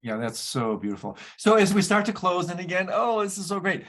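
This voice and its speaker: A man's voice: sits high, very animated, a little fast, fairly bright tone. A male interview host who speaks effusively and with enthusiasm about his guest's ideas. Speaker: podcast host. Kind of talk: conversational interview.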